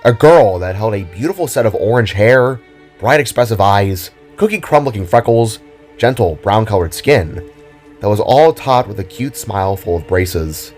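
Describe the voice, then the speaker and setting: A man's voice: fairly low pitch, lively intonation, normal speed, darkish tone, soft background music. A man narrating a scripted audio drama in a dramatic storytelling style.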